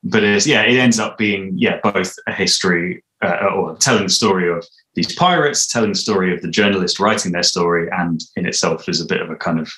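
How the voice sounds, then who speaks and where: Brief: British male creative being interviewed, very low voice, hesitant phrasing, roomy video-call sound. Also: a little fast, sharp tone. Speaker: interviewed audio drama creator and composer. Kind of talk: casual interview talk. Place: small room indoors. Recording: echoey room on video call.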